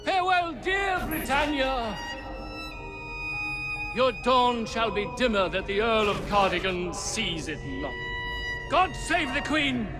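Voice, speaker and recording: An actor playing a pompous, bombastic Victorian officer in a video game, declaiming grandly. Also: male voice, very high voice, big pitch swings, takes it slowly, long pauses, over music, heavily acted, low-quality recording. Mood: grandiose. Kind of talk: theatrical video game acting.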